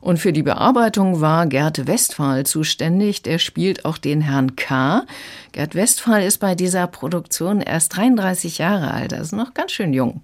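A woman book reviewer explaining in measured phrases, in a prepared conversational radio style. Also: lowish voice, animated.

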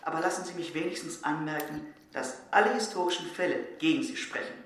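An actor as courteous naval officer, echoey room. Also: male voice, a little high, quick pace, lightly acted. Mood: playfully argumentative. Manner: courteous, heartfelt, expressive. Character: courteous, sentimental male naval officer, gently argumentative. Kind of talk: radio drama acting. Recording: echoey room, noisy background.

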